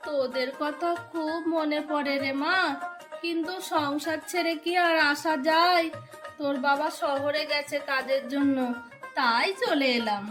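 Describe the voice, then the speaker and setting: Woman acting a bright, high-voiced scheming village stepmother. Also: measured pace, soft background music. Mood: sweetly self-serving. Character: scheming, self-serving middle-aged village stepmother and wife. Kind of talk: Bengali cartoon folk-tale dialogue.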